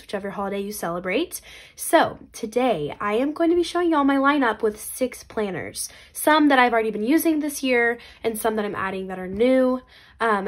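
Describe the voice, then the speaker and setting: A young woman, congested from a cold, chatting in a bubbly, casual way while showing her planners. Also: mid-range voice. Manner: bubbly, chatty, many fillers. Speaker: planner vlogger. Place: indoors at a desk. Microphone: camera overhead, hands only seen.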